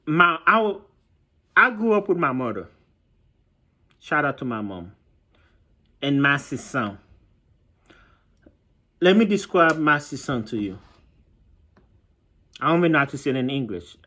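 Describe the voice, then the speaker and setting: A man, telling a personal story informally as a vlogger, halting and reflective as he searches for words. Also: on the high side, very animated, pushed and bright. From Haiti. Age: 30s.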